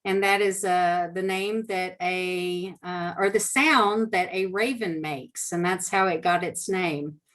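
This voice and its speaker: A woman's voice: lowish voice, slow, few pauses, a bit bright. Friendly woman counselor from Alaska. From Southeast Alaska. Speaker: trauma counselor. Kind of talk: casual video call panel.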